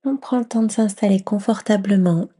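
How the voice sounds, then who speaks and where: A woman leading a guided yoga nidra meditation in a gentle, soothing near-whisper with long pauses. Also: mid-range voice, slightly rough.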